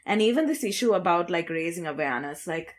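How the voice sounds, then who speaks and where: A woman's voice: on the low side, animated, quick pace. A woman journalist speaking reflectively on a discussion panel, choosing her phrasing with some hesitation.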